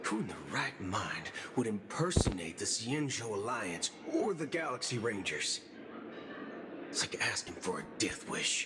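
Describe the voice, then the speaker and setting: An actor playing an easygoing but wary, shrewd space ranger who talks colloquially in a video game. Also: male voice, on the low side, very animated, moderate pace, noisy background. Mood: wary, musing. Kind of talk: scripted video game dialogue. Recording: game audio with loud background music. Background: loud game music.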